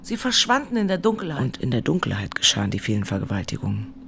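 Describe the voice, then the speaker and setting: A woman's voice: very deep voice, big pitch swings, quick pace, creaky, fairly bright tone, slight background noise, full character voice. An actress playing a traumatised woman who survived a flood, giving rhythmic, poetic testimony in a radio drama. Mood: haunted, rhythmic.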